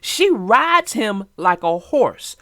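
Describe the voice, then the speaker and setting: A woman children's book narrator speaking expressively and deliberately, as if to young children. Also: mid-range voice, sing-song, fairly bright tone, no room sound, studio quality. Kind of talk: storybook read-aloud and casual chat.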